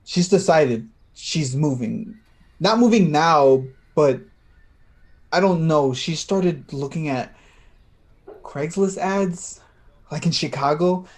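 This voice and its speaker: A man's voice: fairly high pitch, animated, a little mellow. A man acting in a play reading as an anxious, tender, slightly tipsy man who stammers and hesitates. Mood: troubled. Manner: halting, stammering.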